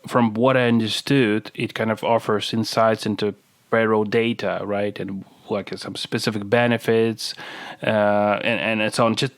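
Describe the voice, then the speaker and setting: A man's voice: lowish voice, flat delivery, a little slow, no echo, clean studio sound. A male podcast host asking casual interview questions, hesitant, repeating words with many fillers.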